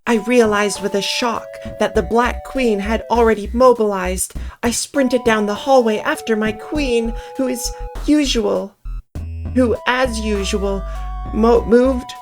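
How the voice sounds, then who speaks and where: A woman's voice: a little high, slow, faint music behind. A woman reading a visual novel aloud as narrator, with casual asides.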